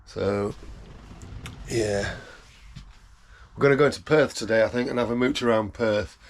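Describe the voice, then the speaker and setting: A man with a gravelly, rough voice, chatting casually and wryly as he vlogs a day trip around a town, cracking small jokes. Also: fairly low pitch, measured pace, no room sound. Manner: chatty, wry, joking asides. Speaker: travel vlogger. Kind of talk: casual vlog commentary. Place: outdoors in a town by a river and in a vehicle. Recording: outdoor street and traffic noise.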